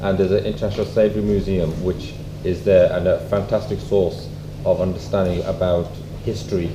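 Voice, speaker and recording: A man making measured, courteous comments at a community event in fairly formal wording. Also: deep voice, flat delivery. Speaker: event speaker. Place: echoey room at a public event. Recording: dull, echoey, noisy room recording.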